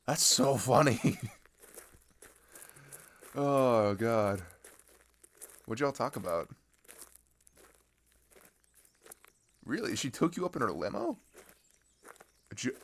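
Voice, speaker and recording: A man's voice: fairly low pitch, fairly bright tone, full character voice. A young man playing a chatty, sarcastic teenage traveler in a casual audio roleplay, rambling in hesitant slang. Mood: giddy disbelief, then curious, gossipy. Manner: rambling, hesitant, slangy, filler-heavy, giggly. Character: chatty, sarcastic teenage boy traveler swapping road stories.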